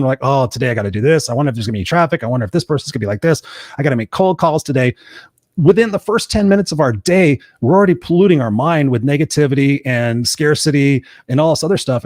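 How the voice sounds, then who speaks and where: A man hosting a live motivational show, telling personal stories in an earnest, energetic way. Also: medium pitch, no room sound, clean studio sound. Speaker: podcast host.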